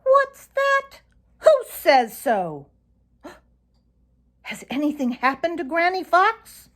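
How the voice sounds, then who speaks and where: A woman's voice: very high-pitched, huge pitch swings, drawn-out words, voice pushed up, full character voice. A woman voicing a boastful, hot-tempered young male fox in a storybook, snappish and indignant. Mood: alarmed.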